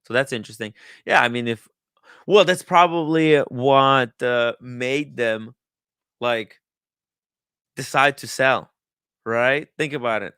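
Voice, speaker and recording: Guy doing a casual livestream, smooth clear voice, kinda halting as he thinks out loud, dry close sound. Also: medium pitch, no echo. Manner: halting, thinking aloud, occasional swearing. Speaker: livestream host. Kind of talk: casual livestream chat, reading viewer comments and riffing.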